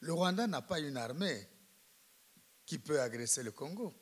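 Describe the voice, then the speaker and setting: A man commenting from the audience at a public debate, emphatic and deliberate, speaking in broken phrases. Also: on the high side, animated.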